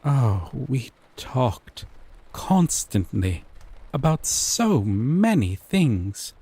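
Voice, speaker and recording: A man playing a gentle but obsessive, possessive dating-sim character in an intimate roleplay monologue, with soft breathy delivery and lingering pauses. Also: mid-range voice, sing-song, dry recording, full character voice. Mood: tender, smitten.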